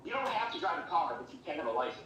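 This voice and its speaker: A man who works as a truck dispatcher, a guest in a heated casual debate, defensive and quick to interrupt. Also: fairly high pitch, big pitch swings, pushed and bright, echoey room, recorded from a distance, a little hiss or hum, low-quality recording.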